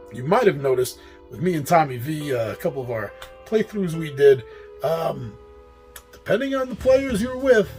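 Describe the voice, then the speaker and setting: A chatty man with a New York accent hosts a casual monologue, his fairly high voice swinging up and down as he talks. Faint music plays behind him. He laughs easily at his own slips. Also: darkish tone. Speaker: host. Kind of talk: casual monologue on board games.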